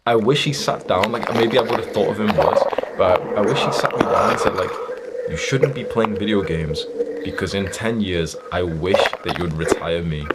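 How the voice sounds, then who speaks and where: A man's voice: low voice, normal speed, heavy background noise. A young man giving a blunt, stern motivational talk as a self-improvement coach, emphatic and swearing freely.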